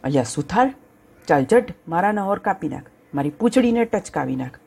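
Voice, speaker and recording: A woman voicing a hungry, bossy male lion who barks gruff, impatient orders in a storybook tale. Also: fairly low pitch, big pitch swings, normal speed, mellow, pitched above the performer's own voice, exaggerated voice.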